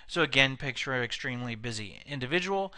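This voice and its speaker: Bright, clear-voiced man teaching sales. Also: medium pitch, lively intonation, fast, no echo. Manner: conversational, frequent fillers and restarts. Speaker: sales trainer. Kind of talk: instructional video lecture.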